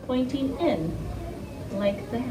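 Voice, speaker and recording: A woman's voice: sits high, expressive, slow. A woman teaching young children in an interactive read-aloud, using simple instructions and frequent questions and prompts. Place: indoor classroom with carpet. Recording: echoey, noisy room, distant microphone.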